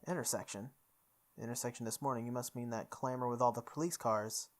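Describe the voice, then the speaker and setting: A man voicing an earnest, casual male high school student in a visual novel. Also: fast talker, soft background music, lightly acted. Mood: puzzled.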